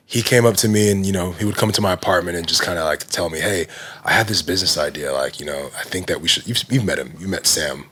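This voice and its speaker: A man in his thirties with a very low, deep voice tells his story in a loose, casual way, leaning on fillers as he goes. He talks as a podcast guest. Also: fast, husky. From Nigerian heritage. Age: 30s. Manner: relaxed, heavy use of fillers like "you know" and "like". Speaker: guest, fitness brand founder.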